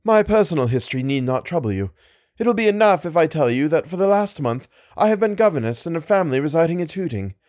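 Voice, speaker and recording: A distressed young lady of genteel manners, as a male reader puts her on, pleading in a low, murmuring voice raised well above his own. Her speech is formal and ornate, in a dry, close recording. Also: sing-song, quick pace, a little mellow, no echo. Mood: earnest. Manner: formal, ornate Victorian diction.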